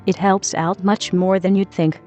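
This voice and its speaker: A woman's voice: mid-range voice, fairly even intonation, moderate pace, a little mellow, lo-fi sound, soft background music. A woman co-hosting a gaming channel, reading a scripted outro.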